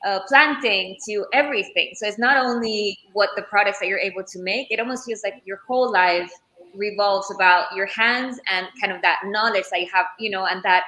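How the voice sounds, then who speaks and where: Bright-voiced woman, thoughtful and searching. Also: mid-range voice, a little fast, reverberant, poor audio. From Ecuador. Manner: thoughtful, searching for words, many restarts. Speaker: leather craftswoman and entrepreneur. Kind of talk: interview answers. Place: Florence, Italy.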